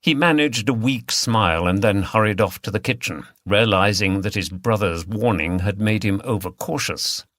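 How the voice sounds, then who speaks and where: A man's voice: fairly low pitch, moderate pace, hardly any pauses, a bit bright, no echo, studio quality. A man reading scripted third-person story narration as an audiobook narrator.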